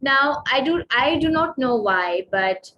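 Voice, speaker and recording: Pakistani woman walking thru grammar points, slightly high voice, uh pauses, faint hiss behind. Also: expressive, muffled recording. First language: Urdu. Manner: measured, explanatory, frequent "uh" pauses. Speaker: student presenter. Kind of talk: recorded grammar presentation.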